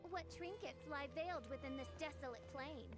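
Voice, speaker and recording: A woman plays a young gothic fantasy heroine with a very high voice. She declaims pompously and theatrically in anime game style, with clear music playing behind her. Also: a little fast, lightly acted. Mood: grandiose. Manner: pompous, archaic, dramatic declamation.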